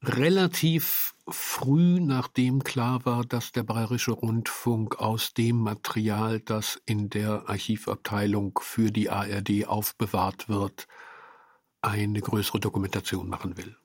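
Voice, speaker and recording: A man giving a reflective interview statement as a director, with deliberate, halting phrasing. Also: lowish voice, fairly even intonation, dry recording. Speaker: radio drama director.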